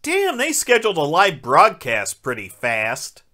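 A man's voice: fairly high pitch, wildly swooping pitch, unhurried, bright, forceful tone. A man recapping a film as a podcast host, with crude, sarcastic wisecracks.

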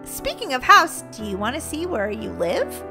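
A woman's voice: high-pitched, sing-song, normal speed, loud music behind the voice. A woman voicing a kindly mother unicorn, teasing and playfully scolding, in a children's pretend-play story.

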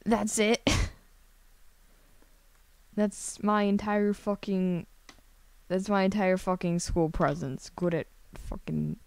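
Slow-talking young woman, clear smooth voice. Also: medium pitch, steady tone, darkish tone, dry recording. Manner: hesitant, rambling, sweary, self-deprecating. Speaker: streamer. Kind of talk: casual livestream chat while drawing. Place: indoors at a computer, screen-share livestream.

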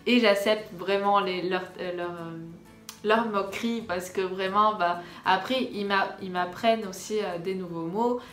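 A woman's voice: medium pitch, steady tone, a little slow, fairly bright tone. A young woman from France, chatting casually to her viewers in a hesitant storytime style, repeating words for emphasis. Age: young adult. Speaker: vlogger. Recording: echoey room with faint background music.

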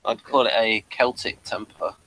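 A man's voice: lowish voice, moderate pace, pushed and bright, dry recording, low-quality recording. A man hosting a casual episode review chat, talking in a hesitant, rambling way and addressing listeners. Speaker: host of a TV review discussion.

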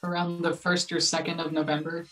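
A woman's voice: on the low side, level tone, fairly quick, fairly bright tone, soft background music, lightly acted, low-quality recording. A young woman playing a dejected, wry grown-up son in a play reading over a video call. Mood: dejected, resigned. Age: young adult. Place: room at home, indoors.

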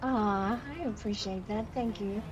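A woman's voice: mid-range voice, steady tone, measured pace, dull audio, music in the background, natural voice. A woman voicing a polite, agreeable young woman who hesitates and stammers a bit. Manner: polite, hesitant, lots of uhs. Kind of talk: roleplay acting.

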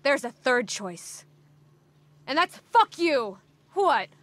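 A woman's voice: high-pitched, big pitch swings, slow, fairly bright tone, exaggerated voice. An actress playing a tough, guarded young female agent in a horror video game, with a terse, sardonic manner. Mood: defiant.

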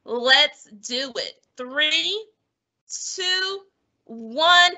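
A woman event host with a high voice and a very bright, forward tone. She speaks to an online audience in an encouraging, presenter-like way. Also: animated, very slow.

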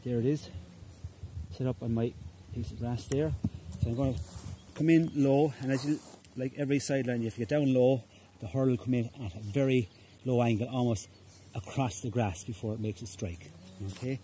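A middle-aged man from Ireland who coaches hurling and gives a casual demonstration on a large rural lawn, talking in a relaxed way with frequent "okay?" checks and fillers. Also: mid-range voice, brisk, noisy background. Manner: relaxed, repeats "okay?" often, hesitant fillers. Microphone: phone camera at ground level.

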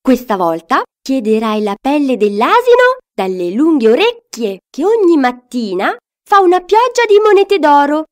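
Woman voicing emphatic, high-pitched fairy godmother. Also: big pitch swings, measured pace, a little mellow. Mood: determined. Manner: emphatic, instructing. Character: hawthorn fairy godmother, scheming, encouraging adviser.

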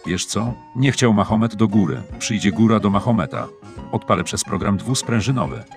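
A man voicing a calm, fatalistic, scheming handyman in a comic cartoon, speaking in a matter-of-fact deadpan. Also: fairly low pitch, normal speed, lightly acted. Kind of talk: dubbed comic cartoon dialogue in Polish. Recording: music playing behind the voice. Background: music.

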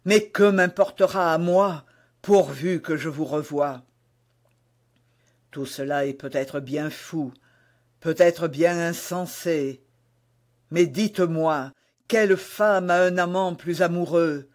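Man playing a slow-speaking, lovesick duke. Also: a little high. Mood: ardent, pleading. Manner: ardent, pleading, grandiloquent. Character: passionate, melancholy young English duke in love. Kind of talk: dramatic audiobook dialogue in a historical romance.

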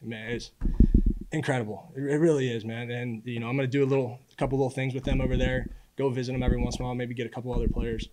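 A man in his early twenties speaks at a quick clip in a mid-range voice. He sounds like a college athlete at a press conference, casual and earnest, often repeating a word as he gathers his thought. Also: medium pitch, flat delivery, very noisy. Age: early 20s. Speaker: college football quarterback. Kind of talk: postgame press conference answers. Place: indoor press room at a podium. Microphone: podium microphone.

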